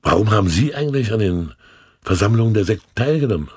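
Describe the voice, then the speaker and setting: A man's voice: lowish voice, expressive, fast, a little hiss or hum, close to an everyday voice. An actor playing a sly, condescending state official in a German radio play, suave and mockingly polite as he questions people. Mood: mocking interrogation.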